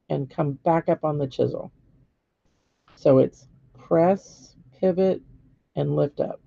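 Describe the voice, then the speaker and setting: Hoarse woman teaching painting strokes, gravelly. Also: deep voice, dry recording, highs cut off. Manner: patient step-by-step instruction with frequent "okay?" checks. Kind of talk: live-streamed painting demonstration.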